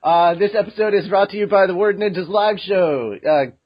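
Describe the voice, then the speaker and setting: A man hosting a fan podcast in casual chat, joking and excitable while reading an ad loosely. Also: sits high, normal speed. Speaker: podcast host. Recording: lo-fi dull podcast audio.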